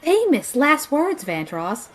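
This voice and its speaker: A woman's voice: high-pitched, very animated, slow, heavily acted. A woman voicing a wry, cautious young student sidekick in an audio drama, dry and teasing. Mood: dry, wary.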